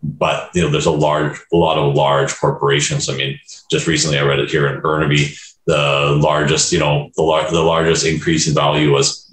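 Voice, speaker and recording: A man in a focus group sharing his views over a video call, halting and full of fillers and restarts. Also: very deep voice, little pitch movement, fairly bright tone, echoey room. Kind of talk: casual opinion sharing.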